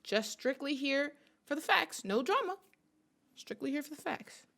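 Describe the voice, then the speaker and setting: A woman's voice: high-pitched, expressive, moderate pace, vocal fry, very noisy. A woman giving playful reaction commentary to camera, mock-serious and theatrical, hammering phrases through repetition. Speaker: sports fan reaction video host.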